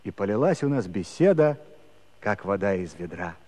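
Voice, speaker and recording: A man's voice: lowish voice, big pitch swings, moderate pace, darkish tone. An actor playing a talkative married Cossack villager, telling his story in folksy rustic dialect for a musical comedy. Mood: rueful, animated. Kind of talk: theatrical narration in a musical comedy. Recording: long hall reverb.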